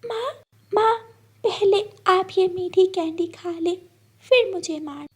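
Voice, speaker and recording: Woman voicing a high-pitched little girl bird, pleading. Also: slow pace. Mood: anxious pleading. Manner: pleading, plaintive. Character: small frightened orphaned young girl bird, pleading, meek.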